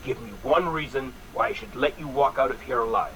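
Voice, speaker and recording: Sharp, menacing male commander, acted by a man. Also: medium pitch, fairly quick, a little hiss or hum, natural voice, poor audio. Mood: menacing, stern. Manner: commanding, clipped, condescending. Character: menacing, haughty male military commander in corporate service. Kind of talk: video game villain dialogue acting.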